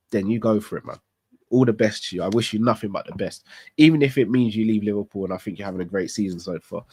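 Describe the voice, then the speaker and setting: A man's voice: mid-range voice, dry recording. A man, congested from a cold, hosting a live fan stream in candid casual chat, thinking aloud with rhetorical questions. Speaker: football fan channel host. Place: small studio set with club decor.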